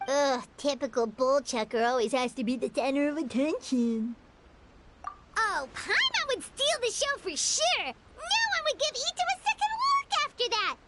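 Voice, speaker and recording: A woman voicing a tiny, excitable fairy companion girl in a video game, childlike, bossy and cheeky. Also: high voice, moderate pace, noisy background. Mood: boastful, scornful. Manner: childlike, exclamatory, speaks of self in third person.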